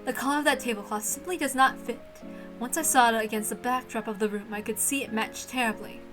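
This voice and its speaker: A woman voicing a high-strung, exacting lady of a mansion, stern and formal as she commands servants. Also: fast, music in the background, lightly acted. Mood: critical.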